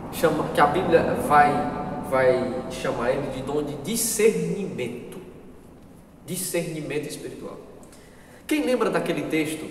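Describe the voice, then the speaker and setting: A man's voice: on the high side, slight background noise. Brazilian man teaching in an echoey church. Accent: Brazilian Portuguese. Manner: earnest, repeats himself, questions the listeners. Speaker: Sunday school teacher. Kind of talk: church Bible lesson. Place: church hall. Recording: echoey hall, distant mic, faint ambience.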